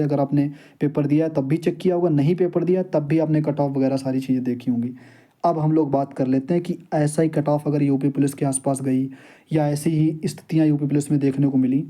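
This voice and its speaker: Young man with a very mellow voice. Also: a little high. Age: young adult. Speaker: exam-preparation YouTuber and candidate. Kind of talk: casual informal vlog talk to viewers.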